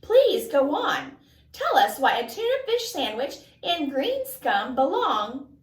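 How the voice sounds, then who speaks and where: A woman voicing a cheerful, adventurous schoolteacher in a storybook read-aloud, with enthusiastic, drawn-out emphatic phrases. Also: high voice, very animated, exaggerated voice. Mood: bright, eager. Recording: echoey room, distant mic.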